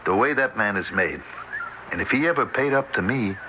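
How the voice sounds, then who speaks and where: A man's voice: on the low side, animated, moderate pace, muffled recording, close to an everyday voice. An actor playing a weary, rueful, well-meaning ordinary man in a 1970s radio drama, talking in an earnest, conversational way. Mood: earnest, scheming. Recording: noisy old 1970s radio broadcast.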